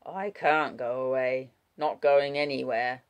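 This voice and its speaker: A woman voicing a weary old man in an audiobook, gruff but kindly, talking with weak effort. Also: rumbling low, fairly even intonation, slow pace. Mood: weary reassurance.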